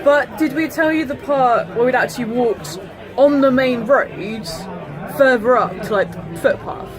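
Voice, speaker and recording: A young woman in her twenties chats casually in a noticeably high voice. She chips into a shared story as a daughter would. A crowd is clearly audible around her in the room. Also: lively intonation, a little slow. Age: 20s. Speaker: daughter, vlogger. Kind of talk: casual chat. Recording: chattering public room.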